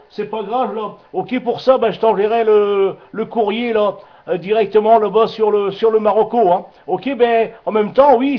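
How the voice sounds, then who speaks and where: A high-pitched man talks casually over a dull two-way radio link. He rambles along as an amateur radio operator, circling back on his words and padding them with little tags. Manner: rambling, repeats himself, frequent filler tags. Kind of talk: casual radio contact chat.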